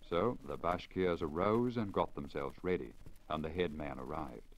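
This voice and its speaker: A man reading a literary story in a measured, formal, carefully enunciated 1950s radio storytelling manner. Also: extremely low pitch, lo-fi sound. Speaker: story reader, radio broadcaster. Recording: crackly deteriorated 1950 lacquer disc recording. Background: surface crackle and noise.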